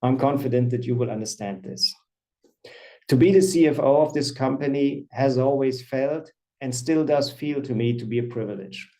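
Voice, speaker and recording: A man in his fifties, a company CFO, presenting quarterly results on an earnings call in a measured, formal way. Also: medium pitch, mellow. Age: 50s. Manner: measured, formal reading of financial figures.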